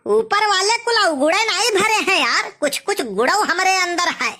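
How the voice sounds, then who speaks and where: Performer as an old male beggar, Awadhi accent, wily, boastful, wheedling, bright high-pitched cartoon comedy voice. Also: animated, normal speed, full character voice. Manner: sing-song blessings, wheedling, boastful.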